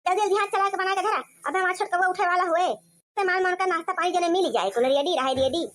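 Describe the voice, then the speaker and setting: A woman plays a cartoon village mother-in-law who dotes on her son. The voice is very high, with an Awadhi accent. She bosses and scolds in a rustic, anxious manner. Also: fast, fairly bright tone. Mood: urgent. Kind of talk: cartoon comedy dialogue.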